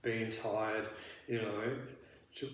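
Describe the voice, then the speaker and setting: A man acting as a therapist in a counselling role play, asking questions gently and haltingly, full of restarts and "you know" fillers. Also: on the low side, flat delivery. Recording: very echoey room, faint background noise.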